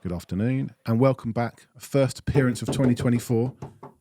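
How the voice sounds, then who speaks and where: A man hosting a casual football podcast, laughing often and reading out club news briskly. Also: mid-range voice, noisy background. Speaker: podcast host. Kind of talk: casual chat.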